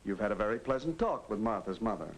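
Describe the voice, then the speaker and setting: A man's voice: fairly low pitch, animated, quick pace. An actor playing a fatherly narrator who coaches a teenager in manners in a 1950s educational film. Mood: friendly coaching. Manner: coaching, instructive. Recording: noisy old 1950s film soundtrack.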